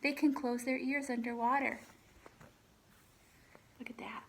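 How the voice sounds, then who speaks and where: Higher-voiced woman reading a children's picture book. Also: creaky, a little hiss or hum. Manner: expressive, pausing to ask the listeners questions. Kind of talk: children's picture book read-aloud with chatty asides.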